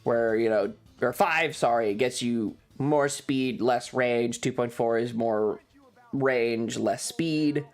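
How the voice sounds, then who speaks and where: A streamer rambling casually through a personal story in an exasperated, sweary way, with halting, repetitive phrasing. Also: medium pitch, soft background music.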